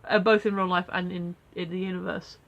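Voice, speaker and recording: A woman's voice: lowish voice, level tone, brisk, highs cut off. A woman with a stuffy nose from a cold, rambling casually with many fillers in a daily video diary from home. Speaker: vlogger.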